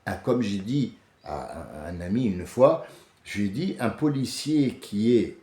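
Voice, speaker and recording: A French man hosting a casual monologue, animated and often restarting, rising to heated outbursts. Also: fairly low pitch, measured pace. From France. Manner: many restarts and hesitations. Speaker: host. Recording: echoey room.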